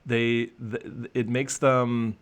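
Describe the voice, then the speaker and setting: A man's voice: medium pitch, little pitch movement, drawn-out words. A man working as a coach, talking in a casual conversational discussion, reflective and full of restarts and fillers. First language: English.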